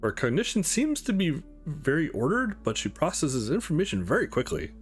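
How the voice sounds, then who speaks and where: A man voicing a measured, authoritative scientist in a visual novel, speaking in a precise, formal manner. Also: a little high, very animated, soft background music. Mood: clinical.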